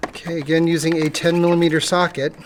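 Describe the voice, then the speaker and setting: A woman's voice: low voice, flat delivery, fast. A woman presenting an informal step-by-step repair tutorial, talking casually while working with her hands. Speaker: presenter of a how-to car repair video. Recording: clearly noisy, clicking tool sounds.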